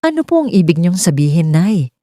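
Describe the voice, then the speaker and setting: A guarded widowed mother from a romance story, voiced by a woman narrator. She is honest and polite but hesitant and pleading, with a mellow tone in a dry recording. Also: fairly low pitch, very animated, brisk, no room sound. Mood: nervous.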